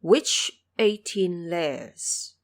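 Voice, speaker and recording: A woman's voice: lowish voice, extremely slow, voice pushed down. A woman voicing a fearful male emperor lost in the underworld in an audio drama, anxious, questioning and formal.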